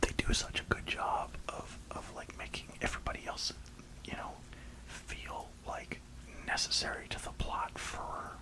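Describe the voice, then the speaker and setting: A reviewer whispering an enthusiastic, rambling ASMR film review in a breathy, unvoiced voice. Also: unhurried, low-quality recording. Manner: enthusiastic, rambling, emphatic repetition.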